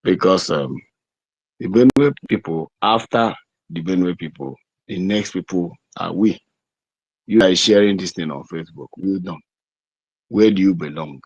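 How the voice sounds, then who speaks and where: A Nigerian man giving a deliberate, emphatic political address as an activist speaker, repeating phrases over an online audio call. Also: low-pitched, no echo. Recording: dry, dull lo-fi internet call audio.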